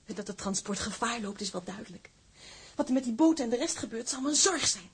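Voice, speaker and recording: A woman's voice: on the high side, lively intonation, fairly quick, husky, exaggerated voice. An actress playing a passionate, lovestruck female newspaper reporter in a Dutch drama, emotional and intense. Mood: anguished. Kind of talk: Dutch broadcast drama acting.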